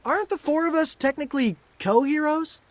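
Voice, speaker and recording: A man playing a sincere, easygoing teenage mutant turtle in an animated game. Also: very high voice, a little fast, no room sound. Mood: wry.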